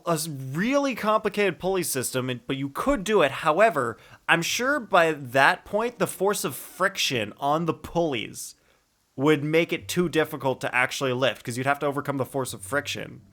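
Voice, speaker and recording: A man's voice: fairly high pitch, expressive, moderate pace, a bit bright. A man giving casual, playful live commentary as a game streamer, thinking aloud in a rambling way.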